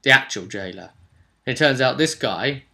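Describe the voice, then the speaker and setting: A man casually recapping a tabletop role-playing session as game master, rambling along in a chatty, halting way. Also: mid-range voice, animated, vocal fry, pushed and bright. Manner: chatty, halting, acts out short bits of dialogue.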